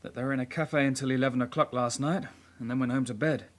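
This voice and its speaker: A man's voice: mid-range voice, fairly even intonation, fast, lightly acted. Plain-spoken young detective side-kick, acted by a man. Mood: matter-of-fact. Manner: matter-of-fact, clipped reporting. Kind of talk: radio drama acting.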